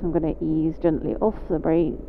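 A woman motorcycle instructor with a British accent, calmly talking through each step as she rides. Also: low-pitched, gravelly. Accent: British English. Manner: calm, measured, talks through each riding step. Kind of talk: instructional commentary while riding. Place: on a motorcycle on wet roads in rain. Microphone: helmet mic while riding. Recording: phone-like helmet mic, road and engine noise.

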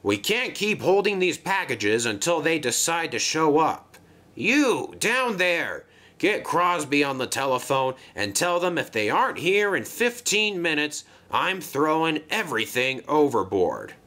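A man's voice: a little high, very animated, unhurried, sharp tone, pitched above the performer's own voice, full character voice. A man voicing a grumpy, bossy dockside crane in a children's train story, grunting and barking orders. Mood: bossy, impatient.

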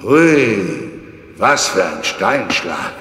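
An actor playing a kindly, bumbling old giant turtle in a children's audio drama, rambling and good-natured. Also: male voice, on the low side, sing-song, very slow, fairly bright tone, exaggerated voice. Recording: echoey cave reverb, noisy cassette.

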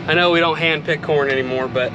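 A man's voice: fairly high pitch, steady tone, quick pace. A young man from Iowa talking casually to a handheld camera in a cornfield, joking and explaining in an easygoing way. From Iowa, USA. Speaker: farm vlogger. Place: outdoors in a harvested cornfield beside machinery. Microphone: camera held at arm's length. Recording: loud machinery noise outdoors.

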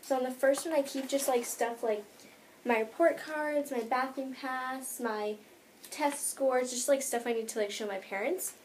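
A woman's voice: high voice, moderate pace, slight background noise. A teenage girl with an American accent chatting casually to a camera in a bedroom, rambling and saying "like" often. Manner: chatty, rambling, filler-heavy with frequent "like". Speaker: vlogger. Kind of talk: casual chat to camera, showing bag contents. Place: bedroom, indoors.

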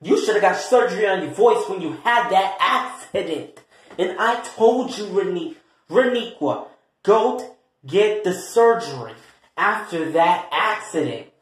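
A man's voice: high voice, animated, a little slow. A man playing a sassy, exasperated peacemaker, scolding and swearing emphatically in a comedy skit. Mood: mocking. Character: sassy, exasperated, meddling peacemaker who eggs on feuds. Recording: very echoey, reverberant room.

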